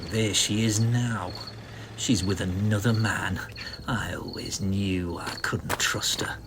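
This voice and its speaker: An actor playing a deranged, vengeful failed painter, menacing and ranting in a melodramatic audio comic. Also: male voice, low-pitched, steady tone, slow pace, vocal fry, background noise, full character voice. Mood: menacing plotting.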